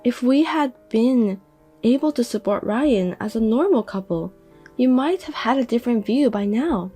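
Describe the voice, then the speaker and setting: Casting: high, mocking mother voiced by a woman, scornful, drama audio. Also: expressive, moderate pace, dark tone, husky, faint music behind. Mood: gloating. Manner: mocking, gloating. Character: scornful mother, middle-aged, sharp-tongued.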